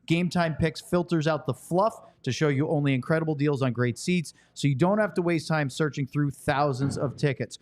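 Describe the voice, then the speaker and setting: Male sports podcast host, slightly high voice, conversational but able to deliver sponsor copy. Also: moderate pace, few pauses, darkish tone. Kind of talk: conversational hosting and ad reads.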